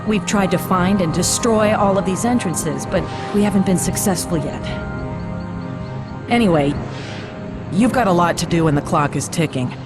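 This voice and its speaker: A woman playing a composed, knowing guide to the afterlife in a video game cutscene, speaking in a measured, explanatory way. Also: fairly low pitch, lightly acted. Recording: loud music behind the voice. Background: loud music.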